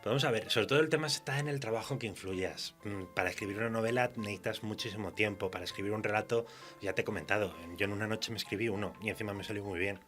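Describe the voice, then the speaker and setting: A man's voice: fairly low pitch, fast, a bit bright, soft background music. A man with a Castilian Spanish accent chatting candidly and colloquially as a writer being interviewed on radio. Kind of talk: casual conversational radio interview.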